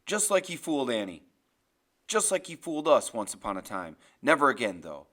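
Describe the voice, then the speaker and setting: A man's voice: medium pitch, big pitch swings, fast talker, sharp tone, pitched below the performer's own voice. Old veteran grandfather for an audiobook, firm, grim, fiercely protective, acted by a male narrator. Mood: bitter resolve. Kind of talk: audiobook character voice.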